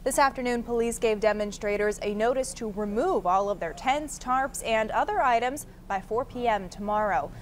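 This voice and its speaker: A woman's voice: on the high side, moderate pace, few pauses, a little hiss or hum. An American woman reading scripted news as a television anchor.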